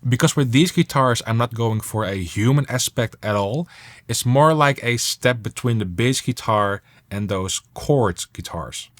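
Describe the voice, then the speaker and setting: A man's voice: medium pitch, expressive, measured pace. A man talking casually as an instructor, explaining music production steps while he demonstrates them.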